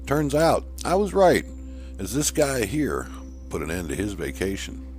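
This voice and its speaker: A man's voice: on the low side, huge pitch swings, a little slow, darkish tone, soft background music, voice pushed up. A man voicing an earnest male scientist who recounts events emphatically in an audio horror story. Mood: earnest, emphatic. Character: male shark researcher earnestly recounting an attack.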